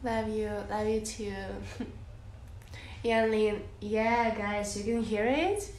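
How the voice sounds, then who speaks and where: A young woman with a Russian accent talks slowly and softly, filling her answers with "like" and "uh" as she responds to viewers. She speaks close to a phone while lying on her bed. The bedroom sounds echoey, with a faint hum of ambience behind her. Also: mid-range voice. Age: young adult. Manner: soft and giggly, many fillers like "like" and "uh". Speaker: livestreamer. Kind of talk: casual livestream chat, reading out comments. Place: bedroom, indoors. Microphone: phone held close, lying on a bed.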